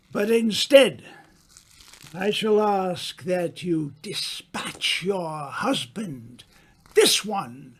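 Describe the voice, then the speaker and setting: A man playing a sinister, gloating undying author in an old-time radio play, slow and insinuating in his theatrical delivery. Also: high-pitched, a bit bright, exaggerated voice.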